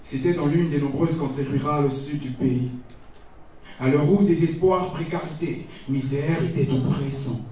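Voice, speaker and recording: A man performing slam poetry in a dramatic, solemn, declamatory way with deliberate pauses. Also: a little high, mellow, far from the mic, slight background noise. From Togo. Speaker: slam poet competing on stage. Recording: echoey stage recording from afar, music behind.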